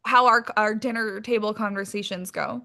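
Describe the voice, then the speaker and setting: A woman's voice: medium pitch, steady tone, moderate pace, gravelly, pushed and bright. A woman being interviewed as a guest, talking casually and candidly with informal language and some swearing.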